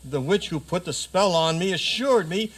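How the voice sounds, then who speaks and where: A man's voice: sits high, lively intonation, moderate pace, slightly rough, soft background music. A man playing a delighted, theatrical frog prince in a comic radio play. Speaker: actor in community theater.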